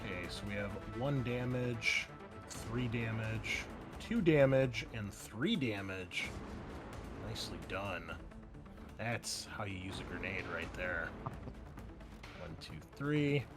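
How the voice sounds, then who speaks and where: A man's voice: fairly low pitch, animated, slow, loud music behind the voice. A man acting as game master, narrating and refereeing a tabletop roleplaying game in an informal, conversational way.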